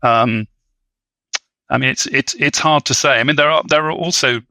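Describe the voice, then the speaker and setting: A man offering conversational film analysis in a measured, scholarly and hesitant manner. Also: mid-range voice, sharp tone, no echo. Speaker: film discussion guest.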